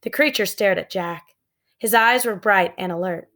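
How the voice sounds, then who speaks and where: A woman reading a children's story aloud as expressive narration, with measured, suspenseful phrasing. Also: mid-range voice. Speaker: storybook reader.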